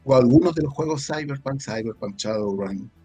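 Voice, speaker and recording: A Chilean man talking casually as an interview guest, halting and thinking aloud as he goes. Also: medium pitch, expressive, dark tone, husky. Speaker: guest, audio drama creator. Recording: faint music behind. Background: faint music.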